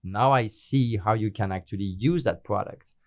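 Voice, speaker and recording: Deep-voiced man explaining in careful second-language English. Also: moderate pace, no room sound, clean studio sound. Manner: explanatory, non-native phrasing with frequent fillers. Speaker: guest expert. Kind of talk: podcast interview.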